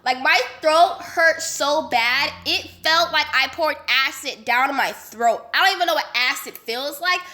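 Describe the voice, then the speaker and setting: A young woman from Las Vegas, sick and congested with a nasal voice, telling a story in a chatty, animated way full of slang and fillers. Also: high-pitched, unhurried, few pauses. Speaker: vlogger. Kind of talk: casual storytime vlog.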